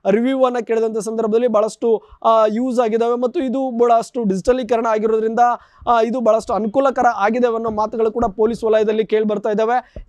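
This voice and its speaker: A man giving an unscripted TV news report in a halting, repetitive way with formal phrasing. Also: extremely high pitch, a little mellow, no room sound.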